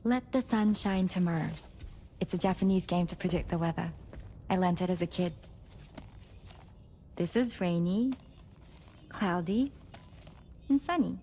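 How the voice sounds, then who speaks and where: A woman playing a gentle, whimsical, hopeful character in game cutscene dialogue, speaking softly with pauses. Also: on the low side, a little hiss or hum. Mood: quietly hopeful.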